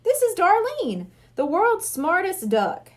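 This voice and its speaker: A woman's voice: very high voice, wildly swooping pitch, takes it slowly, pitched above the performer's own voice, full character voice. A woman reading the part of a friendly, playful middle-aged male hypnotist in a children's storybook, courteous and jovial. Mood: welcoming.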